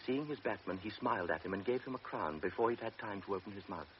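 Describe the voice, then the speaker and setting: A man narrating a classic radio drama in a measured, grave storytelling voice. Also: fairly low pitch. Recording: dull old radio recording with hiss.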